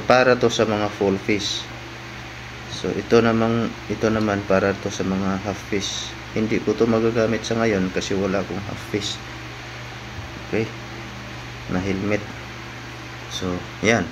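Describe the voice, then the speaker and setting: Male vlogger, lower register, informal stop-and-start product walkthrough, recorded with clear room noise. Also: flat delivery. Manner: informal, halting, often tags phrases with "no?". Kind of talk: casual unboxing vlog narration.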